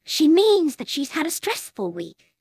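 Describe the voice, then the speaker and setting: A woman's voice: sits high, big pitch swings, a little slow, darkish tone, pitched above the performer's own voice. A woman voicing a refined, gracious young female pony from a cartoon fan story, with a polite, ladylike manner. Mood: gracious, genteel.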